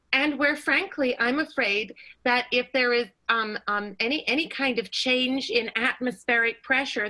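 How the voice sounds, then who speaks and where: High, bright genteel diva played by a woman. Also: rough recording. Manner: mannered, wordy. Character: flattering, tactful, genteel female vaudeville diva. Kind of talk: improvised comic radio play.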